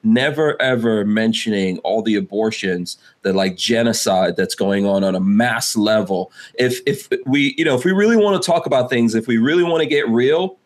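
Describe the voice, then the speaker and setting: A man's voice: on the low side, normal speed, hardly any pauses. A man from Guyana hosting a political podcast, speaking conversationally and easygoing. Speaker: podcast host. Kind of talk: casual political podcast chat.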